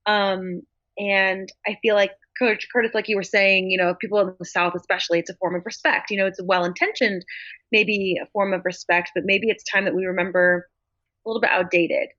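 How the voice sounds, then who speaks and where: A woman's voice: medium pitch, steady tone, brisk, a bit bright, dry recording. Earnest woman reflecting, muffled audio. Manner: reflective, earnest, many "I feel like"s. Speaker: podcast co-host. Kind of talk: casual chat. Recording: dull lo-fi audio.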